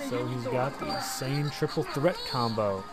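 A man's voice: mid-range voice, measured pace. A man casually narrating a friend's arcade game, chatty and offhand, still getting over a cold. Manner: chatty, offhand, lots of fillers. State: recovering from a cold. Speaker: gameplay narrator. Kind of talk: casual arcade play-by-play commentary. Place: indoor toy store arcade. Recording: phone video over loud arcade music. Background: loud arcade game music.

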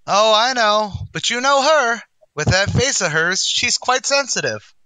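A man's voice: high-pitched, expressive, measured pace, bright, forceful tone, clear, resonant tone, dry recording, full character voice, low-quality recording. An actor playing a brash, sardonic tavern manager who mangles his grammar, in 1940s radio comedy style. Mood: wry, bossy. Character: wisecracking, malaprop-prone male manager of a shabby tavern.

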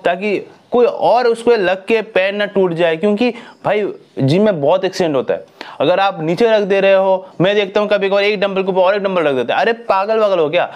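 A man's voice: high voice, a little fast, muffled recording. A young man in his twenties talking to camera on a lapel mic in a small indoor room, giving earnest, scolding fitness advice. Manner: earnest, lively hand gestures, scolding advice. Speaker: fitness vlogger. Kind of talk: casual talk to camera.

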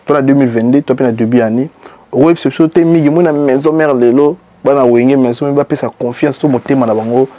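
A man's voice: mid-range voice, a little fast, mellow. A young man interviewing a guest in a small studio, asking questions and cutting in often, on a lapel mic. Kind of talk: casual interview chat. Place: small indoor studio set.

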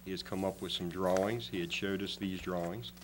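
Real low voiced guy, town staffer at a meeting, loud hum behind him. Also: fairly even intonation, fairly quick. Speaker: town management staff member. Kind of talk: meeting discussion. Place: council meeting room. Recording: loud hum and room noise.

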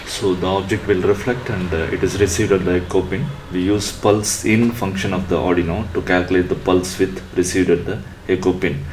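A man presenting an electronics tutorial step by step in a halting, instructional way. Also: low-pitched, steady tone, a little mellow, a little hiss or hum.

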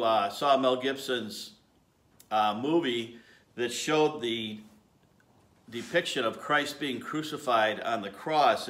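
A man's voice: mid-range voice, a bit bright. A middle-aged man in his fifties giving an earnest sermon from his home garage, gesturing as he speaks to a camera at desk distance. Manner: earnest, gestures with his hands while preaching. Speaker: lay preacher.

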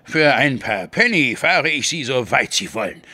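Victorian coachman: eager, flattering, animated, sharp-voiced tout for audio drama, faint noise behind. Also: medium pitch, moderate pace, slightly rough, dry recording, full character voice. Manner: servile, salesmanlike. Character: eager, obliging Victorian English coachman touting for fares. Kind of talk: German audio drama acting.

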